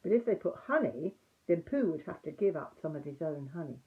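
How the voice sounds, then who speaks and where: Through a narrow, phone-like recording, an older woman reads a children's story. Her voice sits slightly low and moves through lively, swooping pitch as she narrates. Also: fairly quick. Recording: muffled, narrow phone-like audio.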